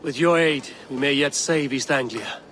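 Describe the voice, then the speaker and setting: A man's voice: medium pitch, fairly bright tone, a little hiss or hum, lightly acted. A man playing a stern Viking warrior leader. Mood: resolute. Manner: firm, measured, commanding. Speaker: game protagonist. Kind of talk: video game cutscene acting. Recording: game audio under a music score.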